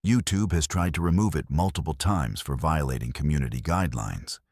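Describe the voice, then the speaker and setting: A man tells scripted horror stories with an extremely low, rumbling voice. His delivery is measured and ominous, and the recording is dry, with no room sound. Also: a little mellow, husky, no echo. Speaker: narrator.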